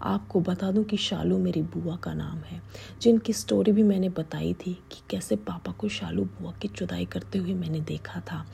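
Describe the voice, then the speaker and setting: A woman narrating a first-person story in a hushed, breathy whisper, confiding with her listeners. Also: lowish voice, animated, normal speed, slight background noise.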